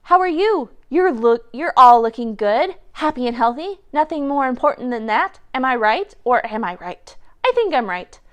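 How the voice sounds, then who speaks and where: A woman's voice: high voice, sing-song, moderate pace, hardly any pauses. A woman voicing an anxious, socially awkward male bear in a storybook, rambling through flustered small talk and questions. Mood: nervously chatty.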